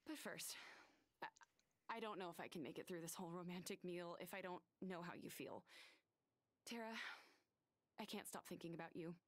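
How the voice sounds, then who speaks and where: A woman's voice: lowish voice, moderate pace, long pauses, dry recording, close to an everyday voice. An actress playing an earnest, romantic young woman doctor in a video game, speaking tenderly and expressively. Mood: nervous, smitten. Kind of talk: animated video game cutscene acting.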